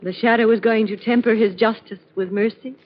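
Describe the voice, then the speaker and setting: A woman's voice: on the high side, fairly even intonation, a little fast, lightly acted. An actress playing a detective's sympathetic female companion in 1940s radio acting style. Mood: earnest, questioning. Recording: dull vintage 1940s radio recording.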